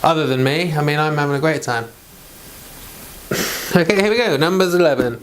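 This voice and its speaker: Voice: mid-range voice, brisk, a little hiss or hum, rough recording. A person reading the Bible aloud as host of an informal read-along, mixing plain chatty asides with formal scripture.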